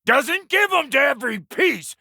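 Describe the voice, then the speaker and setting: A man voicing a gruff, impatient, foul-mouthed dwarf blacksmith in a video game, with a gravelly, raspy voice and folksy, irreverent talk. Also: very high voice, big pitch swings, normal speed, pushed and bright, no echo, studio quality, heavily acted. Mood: grouchy, determined. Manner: folksy, irreverent, colloquial grammar. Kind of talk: video game character dialogue.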